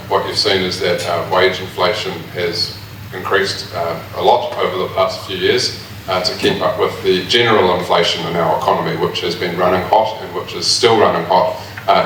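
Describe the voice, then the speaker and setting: A man, an election candidate answering in a measured way with frequent hesitations. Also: deep voice, a bit bright, a little hiss or hum. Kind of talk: public candidate forum answer. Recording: echoey hall from far off.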